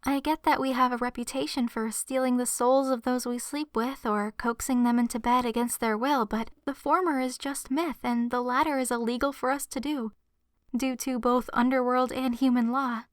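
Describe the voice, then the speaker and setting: High, gentle succubus girl, acted by a woman. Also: fairly even intonation, moderate pace, dry recording, studio quality, close to an everyday voice. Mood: earnest, confiding. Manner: soft, earnest, hesitant, confiding. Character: gentle young adult succubus woman, shy lovestruck best friend. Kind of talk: ASMR roleplay, addressed to the listener. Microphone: close microphone in a dry space.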